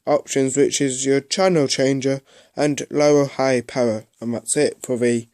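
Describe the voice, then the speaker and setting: A man giving a casual, unscripted product review in a halting way, often repeating himself. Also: mid-range voice, a little mellow, clean tone, dry recording, clean studio sound.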